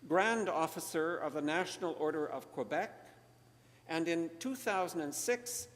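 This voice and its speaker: A man presents a guest speaker in a formal, scripted manner. His voice sits a little high for a man. It carries long reverb from a large hall. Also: animated, normal speed. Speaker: event host introducing a speaker. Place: large hall, public lecture.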